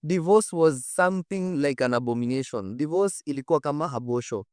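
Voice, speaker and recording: A man's voice: a little high, expressive, fairly quick, a little mellow, clean tone, no room sound, clean studio sound. A man with a Kenyan accent hosting as a storyteller, conversational and emphatic, repeating phrases and throwing out rhetorical questions. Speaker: host, storyteller. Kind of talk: animated conversational storytelling to camera. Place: studio set.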